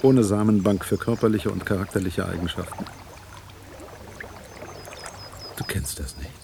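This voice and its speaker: An actor in a German radio drama playing an earnest, troubled, brooding journalist. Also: male voice, sits low, brisk, gravelly, background noise. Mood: musing. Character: troubled, brooding male journalist, frustrated and haunted.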